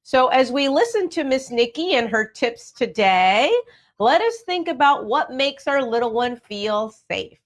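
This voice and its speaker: A woman's voice: sits high, lively intonation, a little slow. A woman hosting an educational talk for families, speaking in an encouraging, affirming way straight to her listeners. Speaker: radio show host.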